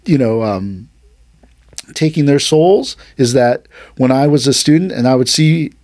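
A man's voice: mid-range voice, lively intonation, unhurried, darkish tone, no echo. A man talks shop about martial arts training in an easy, meandering way, full of "you know" and "like." His voice sits in a middle register and sounds dry and close to the microphone. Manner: loose storytelling with many fillers, acts out quotes. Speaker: aikido teacher and podcast host. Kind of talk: casual podcast conversation.

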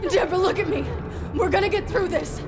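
Game cutscene: a high-voiced, desperate young female agent, over a loud score and crowd noise. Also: a little fast. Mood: frantic. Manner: pleading, frantic, often crying out. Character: desperate young woman agent, guilt-ridden. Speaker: game character. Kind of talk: video game cutscene acting. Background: dramatic music.

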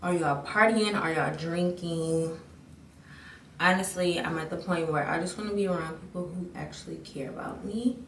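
A woman's voice: fairly low pitch, normal speed. Young female vlogger, candid and rambling, noisy home recording. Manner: candid, rambling, restarts often. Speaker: beauty vlogger. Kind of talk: casual chat to camera. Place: bathroom at home, indoors. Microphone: camera mic at a distance. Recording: echoey bathroom, distant mic, background noise.

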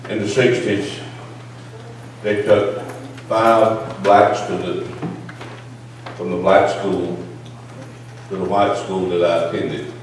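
A man's voice: sits low, steady tone, slow pace, long pauses, slight background noise. A man in his sixties speaking at a church town hall. His talk builds into emphatic, preacherly declarations. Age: 60s. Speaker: audience member at a town hall. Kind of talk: impassioned public speech. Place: large church sanctuary. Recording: echoey church hall from far off.

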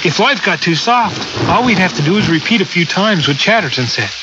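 A man's voice: high-pitched, animated, fairly quick, noisy background. An actor playing a dutiful, easygoing spaceship crewman, speaking earnestly in a radio drama. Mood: musing. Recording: dull radio recording, loud music behind.

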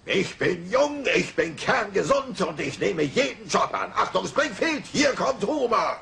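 A man's voice: high-pitched, big pitch swings, unhurried, vocal fry, exaggerated voice. A man playing a hapless, self-pitying middle-aged cartoon father in a German radio-play dub, in a whiny, melodramatic way. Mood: defiant. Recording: loud music behind the voice.

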